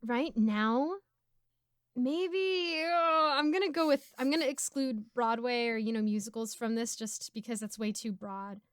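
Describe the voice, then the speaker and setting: A woman's voice: on the high side, a little slow, clean tone, no echo, studio quality. A giggly, chatty woman with an American accent, casually answering fan questions as host. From United States. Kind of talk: casual Q&A chat.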